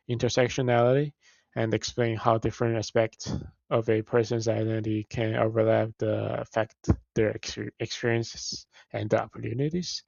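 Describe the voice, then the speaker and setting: A young man from China, a native Mandarin speaker with a Mandarin Chinese accent, presenting a class assignment as a student, halting, with frequent restarts and fillers. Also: mid-range voice, creaky, darkish tone, no echo, dull audio.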